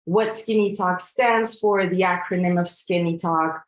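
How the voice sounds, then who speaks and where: A woman in her forties from Belgium, a coach giving a presentation in an earnest, reflective way, often pausing on fillers and restarting her sentences. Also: on the low side, steady tone, echoey room. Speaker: coach and trainer. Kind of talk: presentation to an audience.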